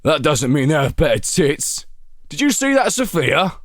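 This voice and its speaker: A man voicing a coarse, cocky French army guard with a creaky voice in an audio story. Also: a little high, big pitch swings, moderate pace, no room sound, clean studio sound, voice pushed up. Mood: bawdy, excited.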